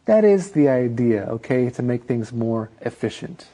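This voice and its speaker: A man's voice: mid-range voice, animated, normal speed, warm tone. A man co-hosting an English lesson, chatting playfully and thinking aloud. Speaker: co-host. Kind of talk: casual chat in an English lesson.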